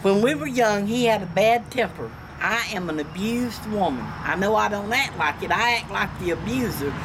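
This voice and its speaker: An older Southern woman with a Georgia accent spins personal tales in a casual, folksy way. Her delivery is blunt and salty, at a medium pitch. She is recorded outdoors on a porch, with clear background noise from vehicles and the surroundings. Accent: Southern American, Georgia. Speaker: storytelling grandmother. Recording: noisy outdoor home video, passing vehicles.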